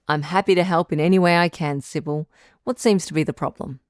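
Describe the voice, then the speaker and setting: A woman playing a calm doctor who hosts a radio advice show in an audio drama, measured, articulate and reassuring. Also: fairly low pitch, no echo, studio quality, natural voice. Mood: measured, supportive. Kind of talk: scripted audio drama as radio call-in host.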